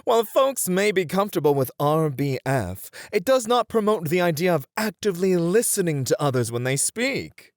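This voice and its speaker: A performer playing a poised, playful etiquette tutor in a scripted ASMR roleplay, speaking with refined, deliberate phrasing. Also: medium pitch, very animated, dry recording, studio quality. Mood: playful instructing.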